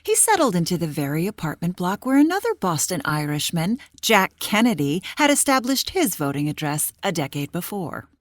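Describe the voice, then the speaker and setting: A female storyteller in an audio play, dramatic and measured. Her voice is on the low side, in a close, dry recording. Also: sing-song, no echo. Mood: dramatic storytelling. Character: female storyteller recounting a politician's family history.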